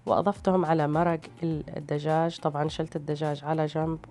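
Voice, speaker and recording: A woman's voice: low-pitched, little pitch movement, quick pace, dark tone. A woman with a tired voice, narrating recipes as a cooking host in a casual, hesitant way. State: tired, strained voice. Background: background music.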